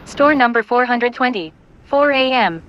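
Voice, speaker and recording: A woman's voice: a little high, expressive, normal speed, dull audio, heavy background noise. A woman reading scene directions as the scripted narrator of an audio play.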